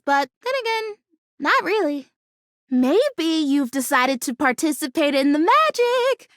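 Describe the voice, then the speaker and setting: A woman's voice: very high voice, no room sound, clean studio sound, exaggerated voice. A woman voicing a perky, earnest young female houseplant in a video game, chatty and bubbly in manner. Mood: bubbly, excited. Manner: bubbly, earnest, chatty, self-encouraging, with stretched excited words. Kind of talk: video game character voice acting.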